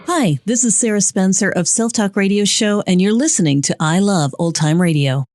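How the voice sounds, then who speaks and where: A woman's voice: mid-range voice, moderate pace, a little mellow, no echo, clean studio sound. A woman radio host reading a short scripted show ident.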